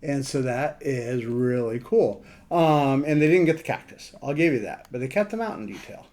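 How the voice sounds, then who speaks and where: A man with a relaxed, mellow voice at a middling pitch presents a casual screen walkthrough. He thinks aloud as he goes, in a halting, conversational way. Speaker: amateur radio operator presenting a map demo.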